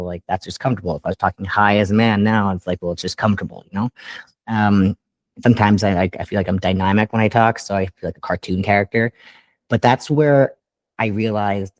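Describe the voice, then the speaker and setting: Animated man with a dry close sound. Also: no room sound. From Canada. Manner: animated, laughs often, pitch jumps up when excited; trained classical singer. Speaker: guest musician. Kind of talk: casual interview chat.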